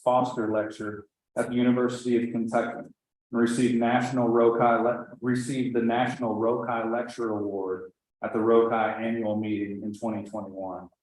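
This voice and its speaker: A man's voice: medium pitch, a little mellow, slight background noise. Man speaking in a very echoey hall. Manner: reads a long citation, with asides and restarts. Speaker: associate dean presenting an award. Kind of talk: ceremony introduction speech. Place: large banquet hall.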